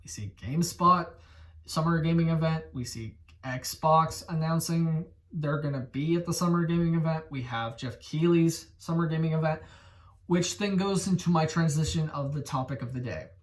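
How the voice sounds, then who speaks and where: A man in his twenties hosting a gaming channel, talking in casual commentary that rambles, gets excited and corrects itself. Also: fairly high pitch, normal speed, recorded from a distance. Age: 20s.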